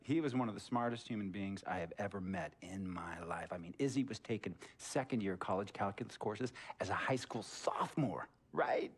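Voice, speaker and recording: Theatrical, preachy rich boss. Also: male voice, on the low side, moderate pace, hardly any pauses, slightly rough. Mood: reflective. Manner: theatrical, storytelling, swinging from hushed to emphatic. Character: eccentric wealthy sports boss, preachy, slick, controlling man. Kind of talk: dramatic game cutscene monologue.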